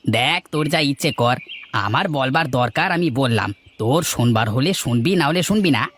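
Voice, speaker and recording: A man's voice: mid-range voice, animated, a little fast, a bit bright, slight background noise, lightly acted. A man voicing a brash, teasing village farmer with an offhand manner in a cartoon folk tale. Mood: brash, scoffing. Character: brash, teasing village banana farmer, older brother type.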